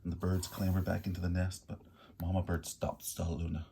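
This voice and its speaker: A man reading a picture book aloud in an animated, expressive storyteller way. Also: low-pitched, a little fast, gravelly, slight background noise.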